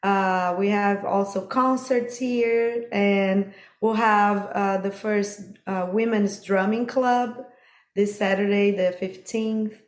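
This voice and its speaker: A woman, a musician and guest, talking in a halting way with many pauses and fillers. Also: mid-range voice, steady tone, reverberant. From Brazil. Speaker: guest, musician and sound healer. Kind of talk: casual interview talk.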